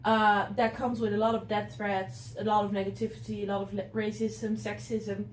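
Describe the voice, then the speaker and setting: A woman's voice: mid-range voice, little pitch movement, moderate pace. A woman city councillor speaking earnestly and directly in a casual discussion. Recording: echoey room, mic some distance off, faint noise.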